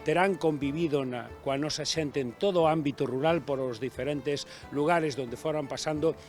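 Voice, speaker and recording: A man's voice: a little high, normal speed, soft background music. A man giving a proud, declamatory statement outdoors by an old bridge. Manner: proud, declamatory, speaks of his land. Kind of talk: public speech to camera.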